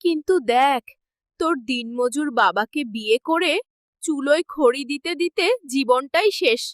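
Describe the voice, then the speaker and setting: A woman's voice: sits high, moderate pace, no room sound, clean studio sound, faint music behind, lightly acted. A woman voicing a fretful, caring village mother in a cartoon fairy tale. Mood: soothing, wistful.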